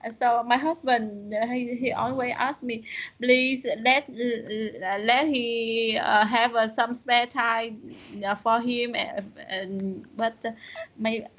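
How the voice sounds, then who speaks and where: Halting, slow woman with a Vietnamese accent. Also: a little high, steady tone, fairly bright tone, muffled recording. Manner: halting, searching for words, many restarts. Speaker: student. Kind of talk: online English conversation lesson.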